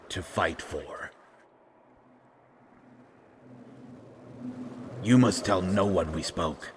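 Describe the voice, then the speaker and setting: Audio drama role: broken otherworldly "we" entity, male actor, deep register, very unhurried, cryptic and halting. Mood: secretive, sorrowful. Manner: halting, cryptic, formal phrasing in short fragments. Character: eerie broken supernatural being speaking as a plural "we". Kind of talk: scripted audio roleplay acting. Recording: noticeable background noise.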